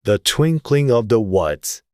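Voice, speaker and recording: A man's voice: medium pitch, very animated, slow, dry recording, studio quality. A man voicing a curt, bossy, pompous king in a storybook reading. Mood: sharp. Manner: pompous, stern.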